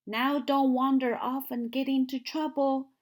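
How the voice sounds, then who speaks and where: A woman voicing a friendly grown man in a storybook, a caring guardian who instructs and gently cautions. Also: high voice, level tone, a little slow, pitched above the performer's own voice. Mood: warning.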